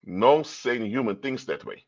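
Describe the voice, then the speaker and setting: A man's voice: medium pitch, expressive, unhurried, highs cut off. A man from Curaçao, giving a livestream talk in a lecturing tone full of rhetorical questions. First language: Papiamento. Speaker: commentator. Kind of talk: livestream commentary.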